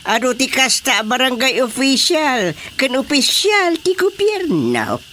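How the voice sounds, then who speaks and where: An actress playing a grudging, world-weary village neighbour in a radio drama. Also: female voice, high voice, sing-song, a little slow, bright, forceful tone, slight background noise, heavily acted. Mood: grumbling.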